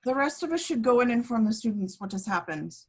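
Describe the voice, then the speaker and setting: Actress doing a strict witch schoolteacher lady, highish husky voice. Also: animated, fast talker. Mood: stern. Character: stern woman teacher at a wizard school. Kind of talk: amateur table read of a film script.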